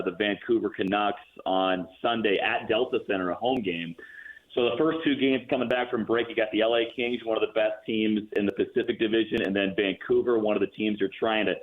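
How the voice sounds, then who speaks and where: Male sportscaster guest, lowish pitch, enthusiastic conversational delivery, narrow phone-line audio. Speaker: sports play-by-play broadcaster as interview guest. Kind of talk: conversational sports talk interview.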